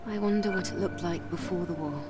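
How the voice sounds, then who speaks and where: A woman's voice: on the low side, fairly quick, recorded from a distance, over loud music, lightly acted. A woman playing a composed, serious young soldier in a video game, speaking in a matter-of-fact, reserved way. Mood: pensive. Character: composed, serious young female soldier, literal-minded and dutiful.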